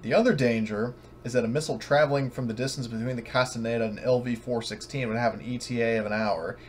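A man narrating an online tabletop roleplaying game as game master, sometimes halting, over voice chat. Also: mid-range voice, slight background noise.

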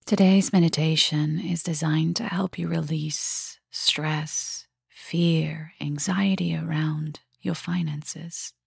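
A woman guiding a meditation in a soft, breathy, husky voice that often nears a whisper, gentle and unhurried with long pauses. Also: low-pitched, steady tone, no echo, clean studio sound. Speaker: meditation guide. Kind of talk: guided meditation, soft and soothing delivery.

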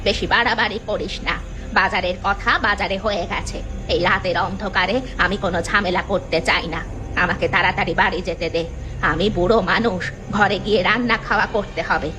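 A woman's voice: medium pitch, fairly even intonation, fairly quick, over music, lightly acted. A woman voicing an aggrieved, cantankerous old village meat seller in a cartoon, with rustic, complaining speech. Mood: wary. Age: older. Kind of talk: cartoon character acting.

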